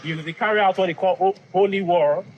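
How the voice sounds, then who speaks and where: A man's voice: high voice, highs cut off, slight background noise. Assertive young Nigerian man. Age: young adult. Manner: firm, assertive, quick to agree and build his point. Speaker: college student in a campus debate. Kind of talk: explaining history in a public debate.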